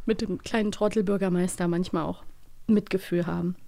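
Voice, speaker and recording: A woman hosting a podcast in casual, playful chat, with an animated, teasing manner. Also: fairly low pitch, a little fast, creaky. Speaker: podcast host.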